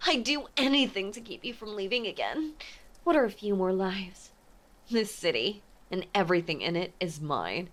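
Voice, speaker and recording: A woman playing an obsessive, possessive mafia heiress ex-lover in an intimate audio drama, speaking with menacing sweetness and often slipping toward a breathy whisper. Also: medium pitch, lively intonation, moderate pace, a bit bright, natural voice. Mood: fierce possessive. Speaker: voice actor. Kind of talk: intimate dramatic roleplay monologue.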